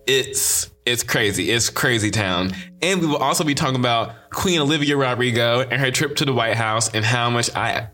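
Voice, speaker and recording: A young man with a slight lisp hosts his own podcast in casual solo talk. He is sassy and emphatic and leans on repeated catchphrases. His voice is bright and forceful. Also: mid-range voice, moderate pace. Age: young adult. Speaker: podcast host.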